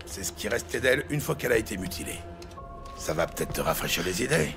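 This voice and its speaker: An actor playing a tough, blunt New York police detective in a French audio drama, pressing and insistent. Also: male voice, fairly low pitch, big pitch swings, quick pace, vocal fry, over music. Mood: menacing, pressing. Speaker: police detective interrogating suspects. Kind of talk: dramatic audio series acting.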